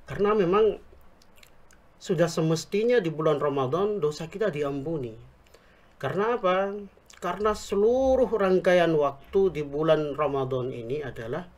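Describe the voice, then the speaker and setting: A man explaining religious teaching on a talk show, speaking Indonesian with a Javanese accent picked up in Yogyakarta, quoting Arabic scripture in a measured way. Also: a little high, animated, darkish tone. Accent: Javanese-tinged Indonesian picked up in Yogyakarta. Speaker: Islamic preacher, guest.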